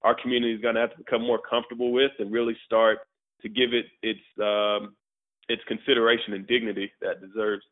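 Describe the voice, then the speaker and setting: A man speaking as an interview guest, relaxed and slangy, sprinkling his talk with "you know". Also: on the low side, fast. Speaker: guest, tech community founder. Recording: tinny phone-like line.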